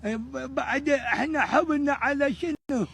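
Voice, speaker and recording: A woman's voice: high-pitched, normal speed, creaky, slight background noise. A woman playing a coy, doubting sweetheart in a comic cartoon, with an Iraqi accent. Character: coy, doubting sweetheart demanding proof of love.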